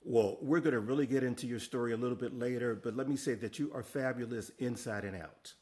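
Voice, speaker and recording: A man's voice: medium pitch, quick pace, a little mellow. Upbeat male interview host. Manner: upbeat and encouraging, laughs often. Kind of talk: friendly interview hosting.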